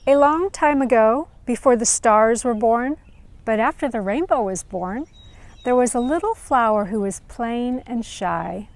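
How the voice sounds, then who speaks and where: A woman reading scripted educational narration and stories aloud for children, in a measured storyteller's manner. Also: a little high, expressive, no room sound.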